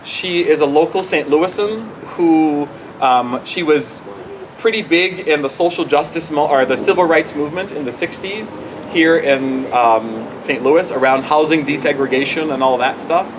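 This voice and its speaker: A man in his forties from Barbados speaks in a slightly high voice. He talks informally and haltingly, doubling back on his sentences as he fields questions as a bishop. He is outdoors, with some background noise around him. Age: 40s. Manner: halting, conversational, often restarting sentences. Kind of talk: informal question-and-answer talk. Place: outdoors at a church gathering.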